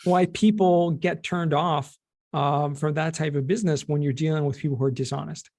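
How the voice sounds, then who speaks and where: A middle-aged American man speaks as a guest in a conversational interview. His voice sits slightly high for a man and has a clear gravelly fry. He explains things in plain, everyday words. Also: steady tone, normal speed, a little mellow. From California, United States. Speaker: podcast guest, cost segregation expert and former teacher.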